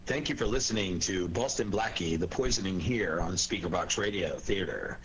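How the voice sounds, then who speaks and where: A man hosting informal cast introductions as an announcer, speaking in a halting, hesitant way. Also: low voice, poor audio. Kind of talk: informal curtain-call hosting. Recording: faint noise, room ambience.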